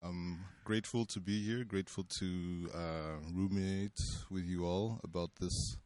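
Deep-voiced man from Cameroon, halting. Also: fairly even intonation, a little mellow. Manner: halting, with many ums. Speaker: panel speaker and reader. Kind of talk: casual introduction and reading a text aloud.

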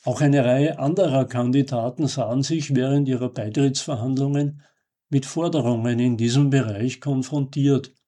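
A man reading a scripted commentary as a radio host, in a measured, formal and emphatic way. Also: medium pitch, mellow.

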